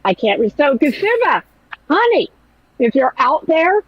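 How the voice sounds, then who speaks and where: Woman podcast host, nasal and whiny, foul-mouthed and animated; dry, muffled lo-fi sound. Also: high-pitched, a little slow, no room sound. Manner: blunt, profane, animated. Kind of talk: casual commentary chat.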